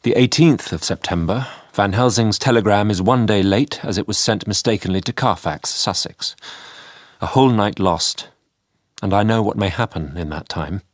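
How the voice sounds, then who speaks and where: A man's voice: lowish voice, moderate pace, dry recording, clean studio sound, lightly acted. An actor playing an earnest, troubled Victorian doctor narrating his diary in a sombre, formal way. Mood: weary, worried. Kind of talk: scripted diary narration in radio drama.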